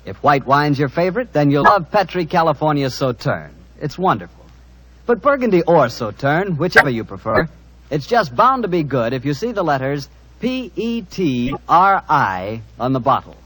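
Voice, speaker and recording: A male radio announcer from the 1940s, delivering a scripted pitch with lively, sweeping intonation. His voice is slightly rough and close, with a very dry sound. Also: normal speed, no room sound, low-quality recording. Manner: smooth-paced salesmanship, enthusiastic.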